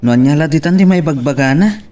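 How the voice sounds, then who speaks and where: A man's voice: fairly high pitch, expressive, fast talker, warm tone, far from the mic, lightly acted. A man playing an irritated, questioning elder brother in an audio drama.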